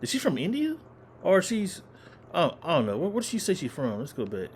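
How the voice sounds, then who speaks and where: Guy doing a reaction vid, lively up and down voice, bit of hiss behind him, keeps repeating questions. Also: a little high, brisk. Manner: animated, incredulous, repeats himself. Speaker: reaction video host. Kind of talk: casual reaction commentary.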